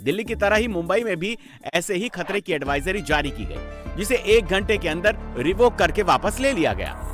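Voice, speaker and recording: Male news voice-over, higher register, lively intonation, emphatic scripted report delivery over a music bed. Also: normal speed.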